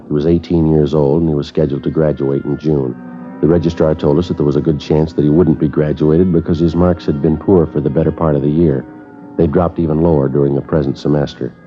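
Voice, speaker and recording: An actor playing a methodical police sergeant who narrates and questions witnesses in a clipped, matter-of-fact way, in 1950s radio drama style. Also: male voice, rumbling low. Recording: dull old radio broadcast with music.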